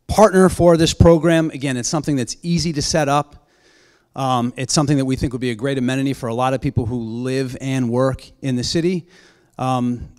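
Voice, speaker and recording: A man with a smooth, resonant voice presents to a room in a library auditorium, speaking into a handheld mic. He sounds upbeat and keen to win the audience over. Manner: upbeat, pitching the idea. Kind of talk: public presentation.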